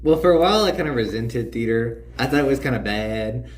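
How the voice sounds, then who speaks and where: A man's voice: medium pitch, lively intonation, brisk, a little hiss or hum. A teenage male podcast guest chatting casually and ramblingly, saying "like" often. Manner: rambling, heavy use of "like". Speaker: podcast guest, student actor. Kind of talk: casual chat.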